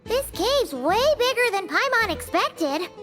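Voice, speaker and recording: A woman voicing a tiny, childlike, excitable floating companion in a video game, squeaky and exclamatory, referring to herself by name. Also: a little slow, music in the background, heavily acted. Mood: excited wonder.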